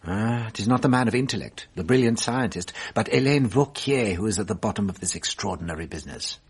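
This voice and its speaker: An actor playing a shrewd, self-assured French police detective in a radio drama, explaining in a measured, theatrical way. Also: male voice, on the low side, animated, husky.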